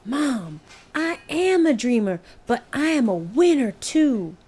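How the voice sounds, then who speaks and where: Give the storybook an earnest young boy with big dreams played by a woman. The voice is raised and soft-edged, eager and determined, with a pleading way of talking. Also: sits high, big pitch swings, slow pace, slightly rough, pitched above the performer's own voice, full character voice. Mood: earnest, insistent.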